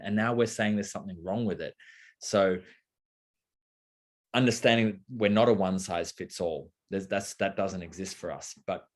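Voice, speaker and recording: A young adult man talking casually and thoughtfully, rambling with lots of fillers and restarts. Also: low-pitched. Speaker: co-host of a live talk. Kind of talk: casual conversational talk.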